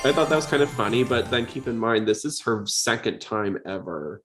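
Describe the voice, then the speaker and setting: A man's voice: medium pitch, animated, moderate pace, music in the background. A man hosting a podcast in casual chat, rambling along in a chatty, jokey way. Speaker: podcast host.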